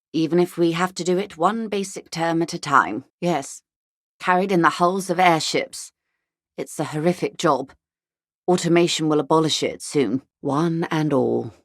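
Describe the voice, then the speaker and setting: Composed, low-voiced upper-class businesswoman. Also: dry recording, clean studio sound. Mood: polite, probing, then earnest, measured. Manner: measured, polite, articulate, formal. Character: composed, worldly upper-class corporate businesswoman negotiator, middle-aged. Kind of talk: video game character dialogue.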